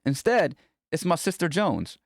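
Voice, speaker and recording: Man narrating with lively, swooping pitch. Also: measured pace, vocal fry, dry recording, clean studio sound. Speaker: narrator reading a book chapter aloud. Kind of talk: scripted first-person memoir narration.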